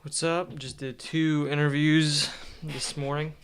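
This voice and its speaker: A man's voice: a little high, unhurried, slight background noise. A young man chatting casually in a vlog about his studies and his podcast, losing his voice. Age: young adult. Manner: relaxed, rambling delivery with fillers. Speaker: vlogger and podcast host.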